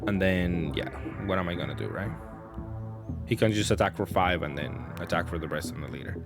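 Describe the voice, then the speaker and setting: Low-voiced man commentating his card games. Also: fairly quick, over music. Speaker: card game streamer, player commenting on own games. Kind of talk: casual off-the-cuff gameplay commentary.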